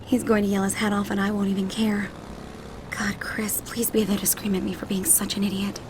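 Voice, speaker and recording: A woman's voice: medium pitch, little pitch movement, brisk, lightly acted. Anxious young college woman, acted by a woman, engine noise behind. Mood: exasperated, pleading. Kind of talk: dramatized audiobook reading.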